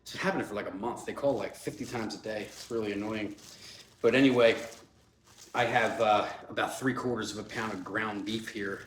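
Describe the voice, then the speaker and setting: A man's voice: on the low side, a little fast, fairly bright tone. A man in his forties, a fitness vlogger, talking in a loose, chatty way with many fillers, congested from a cold. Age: 40s. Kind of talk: casual rambling vlog talk. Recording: echoey room, faint background hum.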